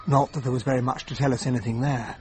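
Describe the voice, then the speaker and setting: A man playing an earnest, loyal English gentleman who narrates and acts as a detective's companion in a radio drama, with a British accent. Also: mid-range voice, level tone, fast talker, a little hiss or hum, close to an everyday voice. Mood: reflective narration.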